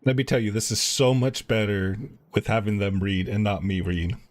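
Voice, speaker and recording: A man's voice: fairly low pitch, moderate pace. A man chatting casually as he comments on a game during a live stream.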